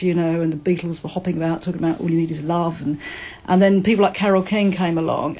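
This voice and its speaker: A woman's voice: lowish voice, a little mellow. An older woman fondly recalling the first time she heard a song, rambling with fillers. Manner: reminiscing, rambling, many fillers. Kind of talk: personal recollection.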